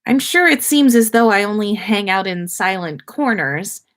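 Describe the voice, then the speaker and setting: A woman's voice: medium pitch, lively intonation, moderate pace, pitched above the performer's own voice, natural voice. A woman voicing a friendly, curious schoolgirl who speaks eagerly in a story read aloud. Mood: playful.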